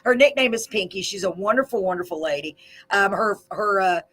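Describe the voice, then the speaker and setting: A woman's voice: medium pitch, animated, brisk, a bit bright. A woman hosting a casual live radio chat, talking enthusiastically and repeating phrases for emphasis. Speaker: live radio show host and amateur songwriter. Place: small local radio studio.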